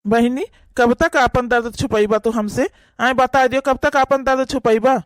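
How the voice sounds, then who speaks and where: A woman with an Awadhi accent voicing a kind young elder brother who gently comforts his sister in a cartoon. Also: a little high, fairly even intonation, normal speed, dry recording, voice pushed down, natural voice. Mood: caring.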